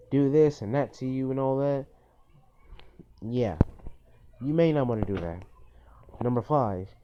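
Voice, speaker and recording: A man's voice: medium pitch, mellow. A man giving informal list-style advice as a video host, in an earnest, cautionary manner with repetitive phrasing. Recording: dull, lo-fi phone recording.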